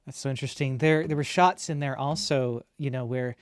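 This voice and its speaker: A man's voice: medium pitch, fairly even intonation, fairly quick, smooth, no room sound, clean studio sound. A man hosting a casual interview, chatting conversationally and reacting with impressed enthusiasm. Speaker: interview host.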